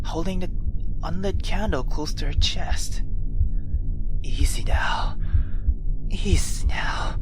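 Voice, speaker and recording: A woman reading a visual novel aloud as a let's player, expressive and dramatic, dropping into hushed asides. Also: on the low side, background noise. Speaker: video game let's player.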